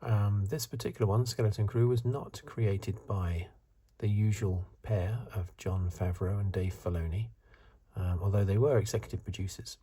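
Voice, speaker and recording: A man in his fifties speaks in a soft ASMR hush. His voice is low and mellow, very breathy and whispery. He muses unscripted and haltingly, feeling his way through each thought. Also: fairly even intonation. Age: 50s. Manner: hesitant, halting, unscripted musing. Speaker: host of an ASMR video channel.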